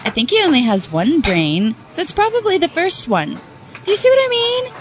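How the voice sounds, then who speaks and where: A woman's voice: very high voice, sing-song, moderate pace, highs cut off, slight background noise. A woman playing a ditzy, earnest, muddle-headed voter, naive and puzzled, in a comedy street interview. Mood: naive confusion.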